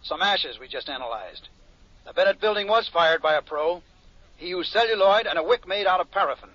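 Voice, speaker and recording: An excited fire inspector, high fast voice, tinny phone line. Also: male voice, no echo. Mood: eager. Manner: brisk and excited. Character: eager male fire inspector. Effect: telephone line. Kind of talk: 1950s radio drama acting. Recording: tinny phone call in an old broadcast.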